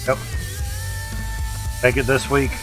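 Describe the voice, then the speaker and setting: A man's voice: mid-range voice, level tone, slow, over music, rough recording. A man livestreaming a video game with casual, rambling commentary in short clipped phrases. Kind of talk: casual gameplay commentary, chatting with viewers.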